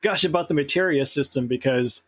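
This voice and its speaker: A man appearing as a podcast guest, talking in a relaxed, enthusiastic way. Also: mid-range voice, fairly quick, no echo. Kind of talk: casual interview chat.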